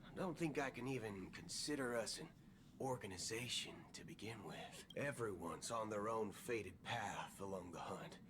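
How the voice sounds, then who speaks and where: Video game gunslinger, male actor: folksy cyborg, swaggering, very animated pitch, noisy ambience behind. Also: normal speed, lightly acted. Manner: drawling, theatrical, folksy.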